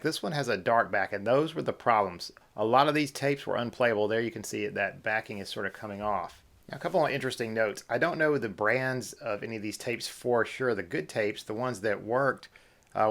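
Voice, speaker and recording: A man's voice: lowish voice, vocal fry, fairly bright tone. A man narrating a casual hobby video as its host, in a conversational, explanatory way. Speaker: vlog host.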